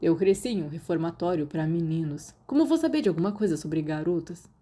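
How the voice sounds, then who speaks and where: Woman as a blunt, defensive teenage boy, low-key. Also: expressive, fairly quick, dark tone, husky. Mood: grumbling. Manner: blunt, grumbling, hesitant. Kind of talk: audiobook character dialogue.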